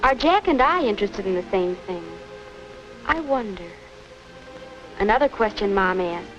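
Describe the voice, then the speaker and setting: A woman's voice: mid-range voice, expressive, normal speed, natural voice. An actress playing an earnest, wondering young American woman in a 1950 educational film, with a self-questioning way of talking. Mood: pensive. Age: young adult. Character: earnest young woman unsure about her romance. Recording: dull vintage 1950s film soundtrack with music.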